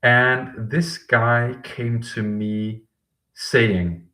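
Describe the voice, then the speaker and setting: A man's voice: medium pitch, steady tone, extremely slow, sharp tone, faint music behind. A man hosting a live-stream question session as a language coach, rambling with frequent ums and self-corrections. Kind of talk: live-stream talk to chat viewers.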